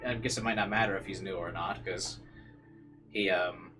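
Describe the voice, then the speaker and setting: A man's voice: sits low, steady tone, moderate pace, music in the background. A man reading visual novel narration aloud as a streamer, dropping into informal side comments and occasionally stumbling over words.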